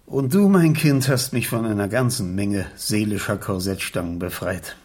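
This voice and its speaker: A man acting as a protective uncle in a radio mystery. His voice is low and husky. He speaks in a gentle, paternal, affectionate way. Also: moderate pace, natural voice. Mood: grateful. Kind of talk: German radio crime drama acting.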